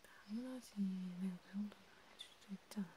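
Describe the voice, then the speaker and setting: Mellow whispering woman, ASMR roleplay. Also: medium pitch, fairly quick, a little hiss or hum. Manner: soft whispering, hesitant, gently caring. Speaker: ASMR video creator.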